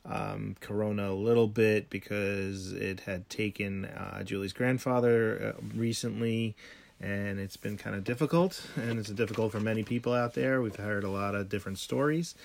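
Gravelly low-voiced man, rambling podcast chat. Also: steady tone, normal speed. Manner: rambling, many ums and restarts. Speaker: podcast co-host. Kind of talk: casual home podcast chat.

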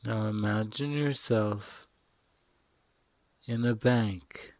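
A man guiding a meditation in short, deliberate phrases with long pauses between them. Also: fairly low pitch, darkish tone, dry recording. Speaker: meditation guide. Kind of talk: guided meditation narration.

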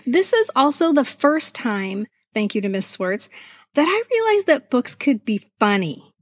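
A woman's voice: sits high, sing-song, measured pace, dry recording, clean studio sound. A middle-aged American woman telling stories casually and expressively as a podcast co-host. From United States. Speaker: podcast co-host and children's author. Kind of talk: casual conversational storytelling about childhood memories.

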